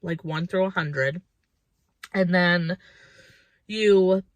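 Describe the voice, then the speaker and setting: A woman's voice: on the low side, drawn-out words, dry recording. A woman of about thirty chatting casually and reflectively to her viewers as a lifestyle vlogger, informal and thinking aloud.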